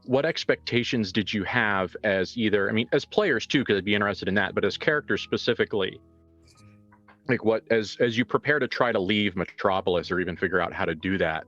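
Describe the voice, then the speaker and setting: A man's voice: fairly low pitch, fairly quick, fairly bright tone. A man speaking as a game master, improvising in a wordy, searching way and putting probing questions to players. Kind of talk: improvised tabletop game discussion. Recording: slightly dull audio, music behind. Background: music.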